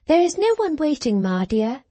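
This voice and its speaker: A woman voicing a spirited, affectionate young woman who speaks briskly and earnestly. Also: sits high, very animated, warm tone, no echo, pitched above the performer's own voice. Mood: lively earnest.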